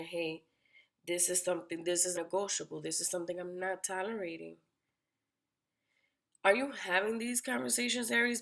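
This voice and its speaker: Woman tarot reader, a bit sick, gravelly and slightly low, direct and probing. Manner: direct, questioning, addresses the listener by sign. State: under the weather, raspy-sounding voice. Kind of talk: weekly horoscope tarot reading.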